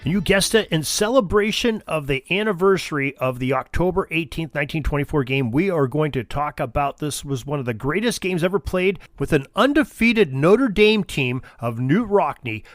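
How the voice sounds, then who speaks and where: Dry-sounding, slightly high-voiced male podcast host. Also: expressive, moderate pace, hardly any pauses, dry recording. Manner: chatty, informal, addresses listeners directly. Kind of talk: sports history podcast narration.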